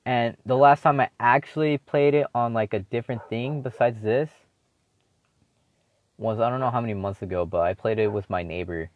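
A man's voice: medium pitch, normal speed, no echo, phone-quality sound. A teenage boy talking alone in a casual, rambling way, swearing often and full of fillers and restarts, as host of his own show. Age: teens. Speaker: solo podcaster.

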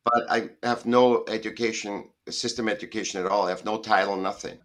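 A man's voice: fairly low pitch, fairly even intonation, brisk, a bit bright. A middle-aged man, a guest on a video call, talking in a rambling, emphatic way and often correcting himself. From Austria. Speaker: guest artist. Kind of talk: casual interview talk. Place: home in Southern California.

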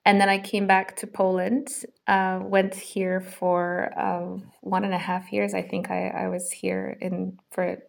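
A woman's voice: mid-range voice, completely flat delivery, measured pace, creaky, few pauses. A woman who grew up in Singapore and runs a school, speaking in a casual interview, thoughtful and full of restarts. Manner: thoughtful, with frequent fillers and restarts. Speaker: school owner, guest.

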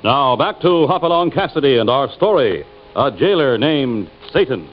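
A man's voice: mid-range voice, big pitch swings, normal speed. A man with an American accent narrating a story as an old-time radio announcer, in a scripted style. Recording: muffled old radio recording. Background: music.

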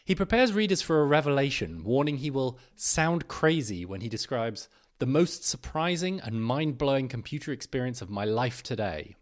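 A man's voice: medium pitch, expressive, normal speed, hardly any pauses. A man hosting a podcast, reading scripted narration and an article aloud.

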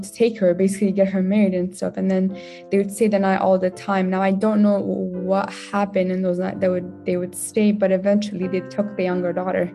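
Young woman, soft and even. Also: mid-range voice. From Afghan family, born in Pakistan, grew up there and in Canada. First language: not English. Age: 20s. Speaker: interview guest. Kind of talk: personal storytelling in a podcast interview.

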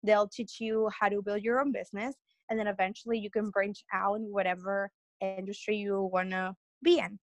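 A woman's voice: mid-range voice, level tone, moderate pace, dry recording. A young woman from Peru, a guest on an interview podcast, telling her story in a rambling, earnest way with many restarts.

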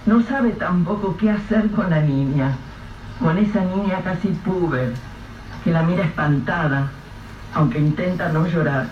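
Male radio drama narrator, measured and grave, slightly higher voice; dull, lo-fi take with light hiss. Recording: echoey, distant, dull lo-fi with faint hiss.

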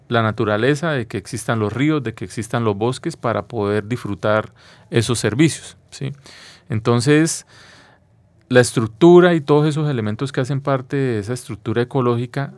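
A man's voice: mid-range voice, dry recording. A man with a Colombian accent explaining things didactically as an interview guest, often checking with short tag questions.

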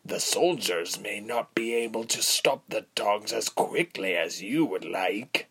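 Slow, raspy, sinister villain. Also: male voice, on the low side, animated, heavily acted. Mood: mocking, threatening. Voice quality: coarse, breathy rasp. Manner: slow, drawling, quiet and derisive. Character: sinister commander, slow, coarse, coldly menacing man. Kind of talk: audiobook character voice.

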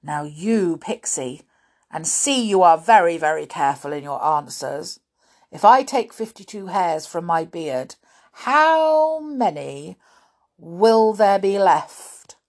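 A woman's voice: fairly low pitch, very animated, takes it slowly, a little mellow, full character voice. A woman voicing a stern, menacing old male schoolmaster in a storybook, thundering and growling his threats.

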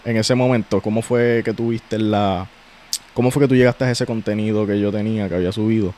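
A man with a Puerto Rican accent hosting a podcast, chatting casually in a slangy, relaxed way with frequent restarts. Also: on the low side, fairly quick. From Puerto Rico. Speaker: podcast host.

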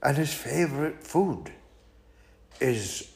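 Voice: medium pitch, expressive, slow, creaky, darkish tone. A storyteller voicing a small, clever mouse in a children's story who talks politely and boastfully.

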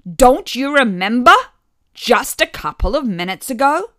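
A woman's voice: a little high, animated, unhurried, sharp tone, no echo, studio quality, pitched above the performer's own voice. A woman voicing an overbearing, self-centred mother in her seventies in a story, barking and gushing theatrically. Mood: barking, exasperated. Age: 70s. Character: loud, overbearing elderly Southern mother, self-centred and nagging. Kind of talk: acted storytelling.

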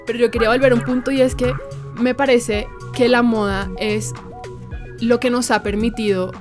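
A woman's voice: fairly high pitch, fairly even intonation, normal speed, music in the background. A woman hosting a conversational discussion, emphatic and repeating phrases for emphasis.

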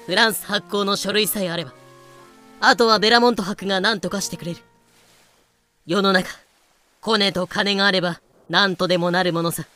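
Male actor as greedy, carefree pirate captain, smug and sharp-toned, audio drama with music bed. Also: sits high, steady tone, moderate pace, long pauses. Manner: haughty, flippant.

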